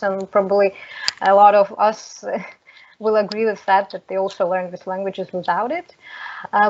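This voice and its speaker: A woman from Lithuania whose first language is Russian presents at an online seminar. Her voice is medium in pitch and her delivery is hesitant and halting. The call sounds dull, with faint noise and clicks.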